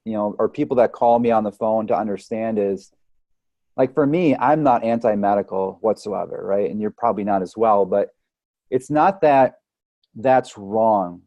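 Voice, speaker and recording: A man's voice: on the low side, normal speed, no room sound, highs cut off. A man speaking conversationally as an interview guest, explaining things through analogies and often checking in with "right?". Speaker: chiropractic or holistic health practitioner, podcast guest.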